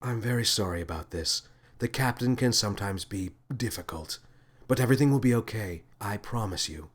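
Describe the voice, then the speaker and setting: A man voicing a gentle, kind female feathered alien officer who speaks softly and politely. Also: medium pitch, lively intonation, brisk, close to an everyday voice.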